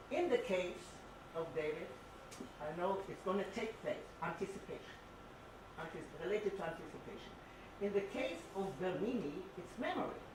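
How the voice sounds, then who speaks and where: An older woman speaking from the audience, making a discursive, halting academic comment in an explanatory way. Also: lowish voice. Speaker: audience member commenting on art. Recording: echoey, noisy hall, far from microphone.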